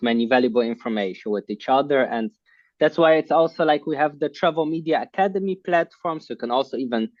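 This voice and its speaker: A man hosting an online class on a video call, talking in a halting way with many fillers. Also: a little high, no room sound. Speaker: online course host. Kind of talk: casual online class talk. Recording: muffled video call audio.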